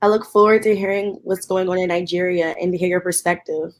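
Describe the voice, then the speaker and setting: A woman's voice: lowish voice, fairly even intonation, a little fast. A young woman moderating an online youth panel and reading a prepared background introduction. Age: young adult. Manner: friendly, reads prepared background. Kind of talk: hosting a video-call panel.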